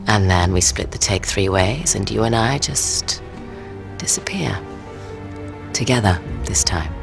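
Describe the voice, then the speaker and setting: A woman's voice: a bass voice, lively intonation, measured pace, gravelly, full character voice. A woman acting a confident, flirtatious thief in an adventure game, with a teasing, coy and wry manner. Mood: conspiratorial, coy. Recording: loud music behind the voice.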